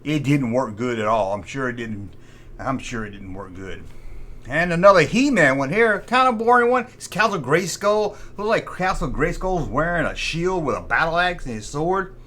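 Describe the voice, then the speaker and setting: A man's voice: fairly high pitch, sing-song, normal speed, a bit bright. A man hosting a vintage toy show, chatting informally and nostalgically and often repeating himself. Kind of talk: rambling nostalgic commentary on collectible toys.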